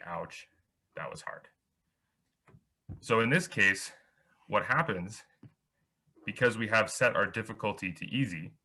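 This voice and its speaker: A man's voice: deep voice, sharp tone. A young man giving a beginner programming workshop over slides, explaining step by step with small restarts. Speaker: workshop instructor.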